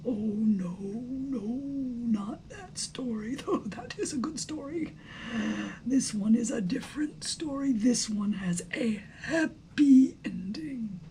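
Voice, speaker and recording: Woman playing a slow, pompous father worm. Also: a little high, expressive, mellow, slight background noise. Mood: knowing, patient. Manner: pompous, deliberate, mock-patient. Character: proud, pompous, learned father worm storyteller.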